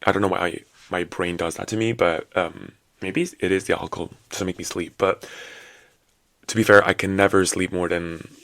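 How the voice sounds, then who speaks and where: A man in his twenties with a low, hoarse, raspy morning voice, chatting casually and rambling with sassy asides as a solo podcast host. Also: steady tone, moderate pace, a bit bright, no echo. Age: 20s. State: hoarse after a night out.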